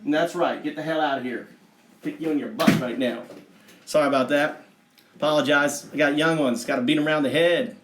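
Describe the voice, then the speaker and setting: A man's voice: a little high, a little fast, natural voice. A man putting on an American Southern accent as a brash, folksy redneck livestream host who rambles, boasts and swears casually to his viewers. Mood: scolding, then folksy, chatty. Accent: put-on American Southern. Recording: roomy, echoing livestream audio.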